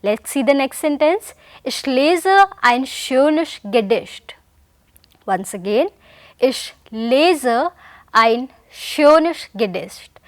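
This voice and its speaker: A woman's voice: high-pitched, animated, very slow, dry recording, clean studio sound. A woman teaching a German vocabulary lesson in English, patiently repeating each word and sentence and calling her learners dear friends. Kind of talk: recorded language lesson.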